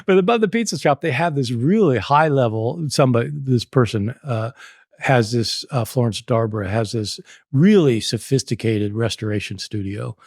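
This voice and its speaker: A man's voice: mid-range voice, expressive, moderate pace, a little mellow, no room sound, clean studio sound. Chatty older American man telling a story. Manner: chatty and anecdotal, laughs often. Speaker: guest scholar. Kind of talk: storytelling in a podcast interview.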